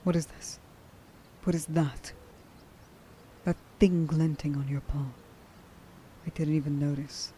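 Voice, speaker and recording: Woman doing a grieving huntress in asmr roleplay, stern n intense, takes her time, soft dark tone, bit of background rumble. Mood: hushed, shocked. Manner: slow, halting, intense delivery with sighs. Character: stern, grieving young huntress who hates werewolves. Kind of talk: dramatic ASMR roleplay monologue.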